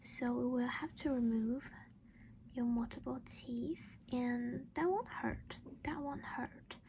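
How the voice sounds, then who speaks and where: A woman whispers very slowly in a breathy, crackly voice, close to the mic. She plays a caring vampire mom in an ASMR roleplay, gentle and reassuring throughout. Noticeable background noise sits behind her. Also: on the high side. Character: caring, protective vampire mother doing a dental checkup.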